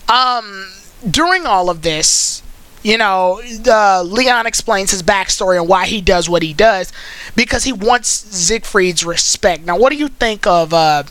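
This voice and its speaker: Bright-voiced host chatting casually. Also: mid-range voice, lively intonation, measured pace, no room sound, rough recording. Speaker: host of an anime commentary stream. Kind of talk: casual chat recapping and critiquing a cartoon series.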